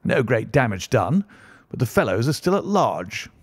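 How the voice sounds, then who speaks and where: Man playing a bluff, lively country colonel. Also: medium pitch, moderate pace, slightly rough, no room sound, studio quality, voice pushed up. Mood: breezy, dismissive. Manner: bluff, old-fashioned upper-class phrasing. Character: hearty, unflappable English country colonel, gentleman host.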